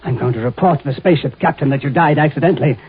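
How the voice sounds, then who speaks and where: An idealistic scientist in an old science fiction radio play. His voice is a little high, earnest and intense, that of a dreamer pushed to the edge. The recording is somewhat dull and dated. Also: rapid-fire, breathy edge. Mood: desperate, threatening. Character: earnest idealistic professor, pleading, driven to desperation. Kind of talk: 1950s radio drama acting.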